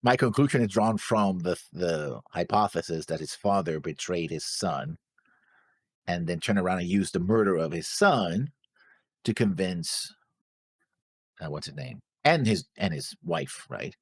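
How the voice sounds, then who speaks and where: A man's voice: low-pitched, lively intonation, unhurried, creaky, breathy edge, no echo, studio quality. A man co-hosting a reaction show, casually working through theories, insistent but often searching for words. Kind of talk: casual theorizing about an animated series.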